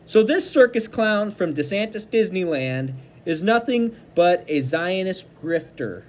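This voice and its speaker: Combative high-pitched man, animated. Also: a little slow. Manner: combative, insulting, emphatic repetition. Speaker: host of a political commentary show. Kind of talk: angry political commentary reacting to clips.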